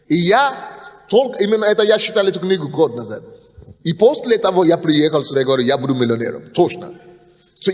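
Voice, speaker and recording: Male pastor, thirties, high tenor, emphatic sermon delivery, long church reverb. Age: 30s. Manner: insistent, emphatic preaching with frequent restarts. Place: church hall, at the pulpit.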